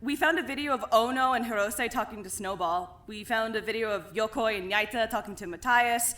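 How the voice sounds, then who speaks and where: A woman's voice: a little high, a little fast, bright, forceful tone. A woman voicing a blunt, suspicious young woman who talks casually and swears freely, in a fan audio drama.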